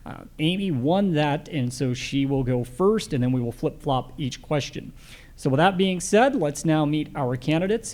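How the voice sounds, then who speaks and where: A man moderates a public forum, formally explaining the rules and giving speakers their turns. His voice sits somewhat high for a man. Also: darkish tone.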